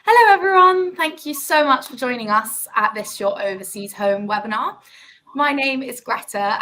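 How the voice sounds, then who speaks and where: A woman's voice: a little high, bright, forceful tone, low-quality recording. A woman hosting a webinar, giving polite, scripted introductions and instructions. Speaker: webinar host.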